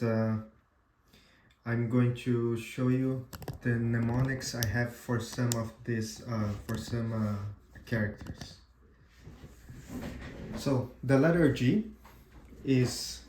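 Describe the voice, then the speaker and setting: A man whose first language is Portuguese gives a casual tutorial demo, pausing often with "uh" and restarting his sentences. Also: medium pitch, fairly even intonation, roomy sound, background noise. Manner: halting, frequent "uh" and restarts, checks in with "right?". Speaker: amateur radio instructor.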